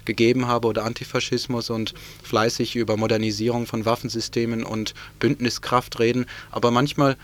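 A man presents political commentary on the radio. His pitch sits in the middle and barely moves, which gives the sardonic wording a flat delivery. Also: a little fast, few pauses.

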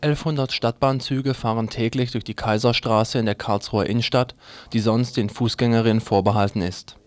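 A man's voice: medium pitch, steady tone, a little fast, no echo. A man reading scripted news items as a radio news presenter. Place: dry radio studio.

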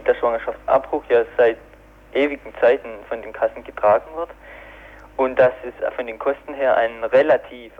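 A man explaining things in an unscripted interview, with halting, hesitant phrasing. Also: medium pitch. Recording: tinny narrow telephone line.

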